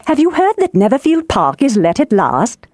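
An excitable middle-aged wife from a storybook, as a woman reader plays her. Her pitch leaps high and swings widely as she nags and gushes. The sound is close and dry, with soft edges. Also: normal speed, dry recording. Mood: excited, gossipy. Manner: gushing, impatient, emphatic. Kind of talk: audiobook character voice.

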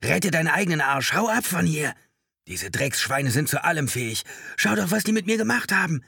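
Desperate paranoid gunman, high trembling strained shouts, ranting, audiobook drama, close dry sound. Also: big pitch swings, fairly quick, creaky, slightly rough, dry recording, exaggerated voice. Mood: desperate, agonized. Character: frantic paranoid hostage-taker in agony, man. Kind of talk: audiobook character acting.

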